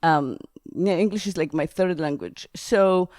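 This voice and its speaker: Podcast host, woman, expressive and laughing, slightly low voice, dry recording. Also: a little slow, dry recording. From Greece. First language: Greek. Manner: laughs often, chatty and expressive. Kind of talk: casual conversation.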